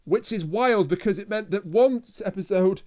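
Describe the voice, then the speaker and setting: A man's voice: high-pitched, normal speed, darkish tone. A man co-hosting a casual review discussion, talking earnestly and repeating himself for emphasis. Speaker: podcast co-host.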